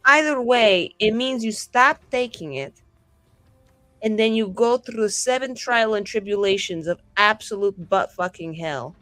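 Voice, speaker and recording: A woman's voice: medium pitch, lively intonation, unhurried, pushed and bright, dry recording. A woman playing a tabletop roleplaying game, improvising in casual, slangy, sweary talk with a wry, rambling manner.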